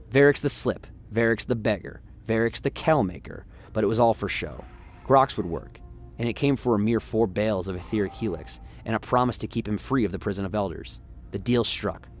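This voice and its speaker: A man's voice: lowish voice, slight background noise. A man giving scripted, dramatic narration of a story as the narrator of a radio play.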